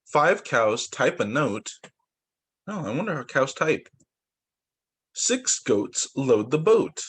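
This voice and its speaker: Husky young man reading to kids. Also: medium pitch, takes it slowly. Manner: sing-song, expressive storybook reading with rhymes. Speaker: preschool teacher reading picture books. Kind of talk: read-aloud story time for young children. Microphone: video call.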